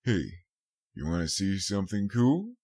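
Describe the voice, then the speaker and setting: A man voicing a gentle, protective male pony, fatherly and caring, in an audiobook. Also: low-pitched, big pitch swings, unhurried, husky, full character voice. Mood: friendly, caring. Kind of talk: audiobook character voice.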